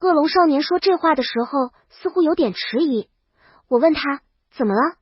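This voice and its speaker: A woman's voice: high-pitched, normal speed, dry recording. A woman reading a scripted first-person story as an audiobook narrator. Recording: dull, lo-fi dry voice track.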